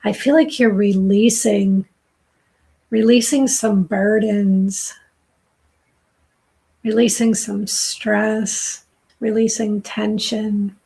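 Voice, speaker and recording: Middle-aged New woman, raspy and gravelly, dry throat, slow soothing tarot reader. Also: mid-range voice, fairly even intonation, rough recording. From southern New Hampshire. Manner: slow, soft, soothing intuitive reading. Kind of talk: live-stream tarot reading.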